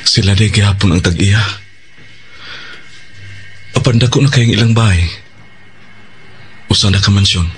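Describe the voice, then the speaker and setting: A man's voice: lowish voice, normal speed, long pauses, faint music behind, lightly acted. A man playing a genial family friend who also narrates in a Filipino Bisaya radio drama. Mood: reflective narration.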